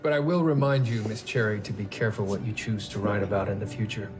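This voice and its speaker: A man plays a composed detective who speaks in formal, measured sentences. His voice sits a little low, and a clear music score runs under him. He questions people steadily, giving little away. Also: steady tone, natural voice. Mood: stern. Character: calm, methodical male police detective. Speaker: police detective. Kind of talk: period TV drama acting.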